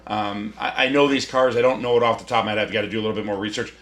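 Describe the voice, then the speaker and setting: A middle-aged man from the area, a car buyer negotiating over the phone in a brisk, upbeat salesman manner. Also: fairly low pitch, fairly even intonation, fairly bright tone. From Chicago area, USA.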